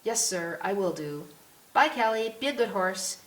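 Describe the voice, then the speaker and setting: A woman's voice: mid-range voice, lively intonation, moderate pace, pitched above the performer's own voice, lightly acted. A woman voicing a polite, earnest young English schoolboy in an audiobook. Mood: dutiful. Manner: respectful, earnest. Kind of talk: audiobook character voice. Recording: roomy echo with clear hiss.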